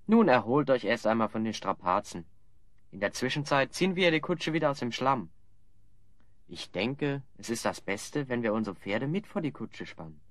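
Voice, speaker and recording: An actor playing a level-headed, dryly ironic adventurer hero in an audio drama. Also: male voice, mid-range voice, very animated, moderate pace, dry recording, clean studio sound, natural voice. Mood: practical. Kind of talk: German audio drama acting.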